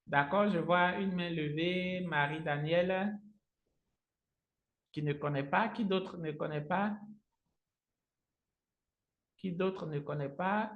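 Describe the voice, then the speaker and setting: A man whose native language is Agni, teaching a live software tutorial step by step as an online trainer, often repeating his phrases. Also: high-pitched, takes it slowly, long pauses, highs cut off. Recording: roomy, echoing sound.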